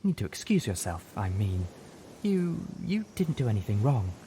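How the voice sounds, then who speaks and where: A man voicing a gentle, caring female unicorn healer with soft, articulate speech in an audiobook. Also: fairly low pitch, very animated, moderate pace, slight background noise. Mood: tender. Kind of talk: audiobook character voice.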